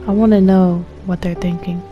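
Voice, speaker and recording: A woman's voice: lowish voice, little pitch movement, normal speed, lo-fi sound, soft background music. A woman streamer giving casual, slangy commentary on a game, playfully suspicious and talking back to the characters. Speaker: streamer playing and reacting to a game. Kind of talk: casual live gameplay commentary.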